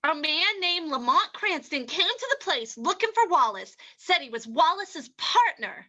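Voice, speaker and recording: A woman's voice: extremely high pitch, big pitch swings, unhurried, bright, forceful tone, lo-fi sound, full character voice. Woman acting a sultry, scheming radio-play femme fatale. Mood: urgent. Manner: flirtatious, mocking. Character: sultry, scheming, ruthless female poisoner in a crime radio play. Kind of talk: old-time radio drama acting.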